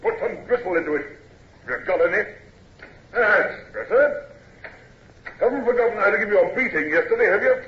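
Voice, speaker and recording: A man's voice: high-pitched, animated, moderate pace, long pauses, echoey room, a little hiss or hum. A man playing an arrogant, bullying midshipman in his thirties, mocking and commanding, in a 1950s radio drama. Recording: muffled vintage radio recording.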